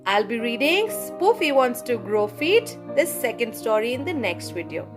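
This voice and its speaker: A woman reading a children's story aloud for her channel, in a cheerful, expressive way. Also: a little high, normal speed, over music. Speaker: storybook reader, channel host.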